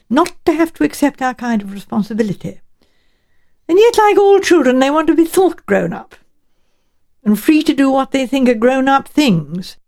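A woman plays a learned older professor, a man who muses aloud in an audiobook mystery. His delivery is pedantic and deliberate, rising and dipping expressively as he weighs each thought. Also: fairly high pitch, a little mellow. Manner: deliberate, musing, pedantic, with hesitant pauses. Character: learned older male professor, measured and ponderous.

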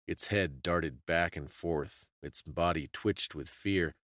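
A man with a very deep voice reads a dramatic story as a solemn, suspenseful storyteller. He goes slowly, with deliberate phrasing. The sound is close and dry, with faint music underneath. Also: a bit bright, dry recording. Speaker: storyteller and podcast host. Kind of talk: dramatic scripted story reading.

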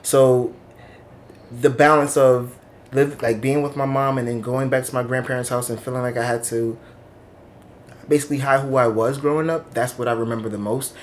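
A man's voice: medium pitch, steady tone, normal speed, slight background noise. Reflective man in his thirties, from Long Island. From Long Island, New York. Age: 30s. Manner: candid, reflective storytelling. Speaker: interviewee. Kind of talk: oral history interview. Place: office indoors.

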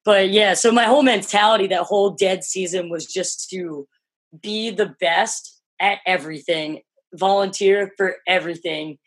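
A woman with a Philly-Jersey accent and a low voice, chatting casually as an interview guest, peppering her talk with "like" and swear words. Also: moderate pace. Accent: Philadelphia-New Jersey. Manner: low-voiced, animated, sprinkles "like" and swears freely. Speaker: podcast guest.

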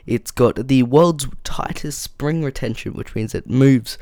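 A man hosting a casual solo tech podcast, his voice croaky from a flu, rambling with many ums and frustrated rants, on a boom-arm microphone in a foam-treated room. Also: a little slow, a little mellow, no room sound, clean studio sound. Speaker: podcast host. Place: small room with soundproof foam. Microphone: boom-arm studio microphone.

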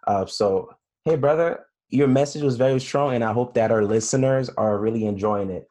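A man's voice: medium pitch, normal speed, mellow. A man in his late twenties hosting a casual interview, speaking in a friendly, encouraging way. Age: 20s. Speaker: host.

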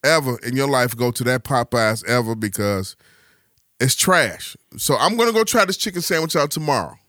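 A man hosting a podcast, halting and repeating words in casual chat. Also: medium pitch, animated, pushed and bright, no room sound, studio quality. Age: 40s. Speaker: podcast host.

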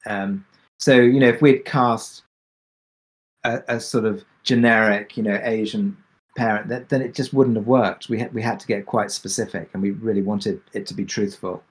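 A man answering questions on a discussion panel as a drama creator, speaking reflectively and haltingly with many pauses. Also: lowish voice, steady tone, husky. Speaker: audio drama creator and producer.

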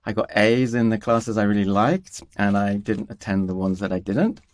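A man's voice: on the low side, steady tone, normal speed. A man from East Africa, a guest scientist on a podcast, telling his career story in a rambling, self-deprecating way with many fillers. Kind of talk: conversational podcast interview.

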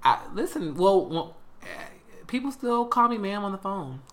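A man with a higher-pitched voice, chatting candidly and expressively as a podcast co-host. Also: normal speed, crowd noise. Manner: expressive, bursts into laughter. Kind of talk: candid casual chat.

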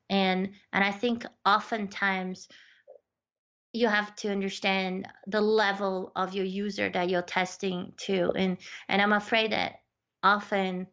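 A woman's voice: medium pitch, a bit bright, clean tone, dull audio. A woman in casual conversation, talking in a chatty way with many fillers and restarts as she tells stories about her work. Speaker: accessibility researcher and guest.

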